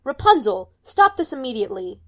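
A woman's voice: a little high, expressive, brisk, vocal fry, mellow. A woman voicing a controlling mother who talks down sweetly. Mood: shrieking. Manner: condescending, falsely sweet. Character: sugary controlling mother, middle-aged woman.

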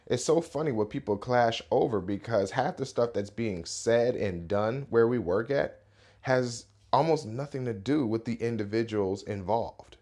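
A man in his thirties hosting a casual morning podcast, his voice hoarse and a little raspy, rambling with fillers. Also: fairly low pitch. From Rochester, New York area. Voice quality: relaxed, rambling delivery with many fillers. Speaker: podcast host.

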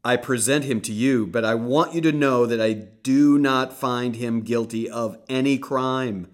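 A man's voice: measured pace, natural voice. A man voicing a frantic, strained Roman governor. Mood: agitated. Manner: loud, strained, rising pitch.

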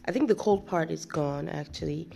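Chatty woman with a creaky, lingering-cold voice. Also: deep voice, moderate pace, mellow. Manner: chatty, rambling, frequent restarts and laughs. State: recovering from a cold, chesty cough. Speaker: podcast host. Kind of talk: casual storytelling monologue.

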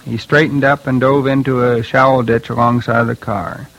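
A man's voice: medium pitch, level tone, normal speed, fairly bright tone, muffled recording. A man, a former law officer, giving a scripted account in a stiff, official manner.

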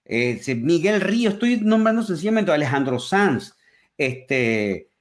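A man's voice: a little high, sing-song, a little slow. A man from Venezuela, telling stories with enthusiasm and reflection as a guest in a conversational interview over a remote call. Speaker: interview guest, musician and comedian.

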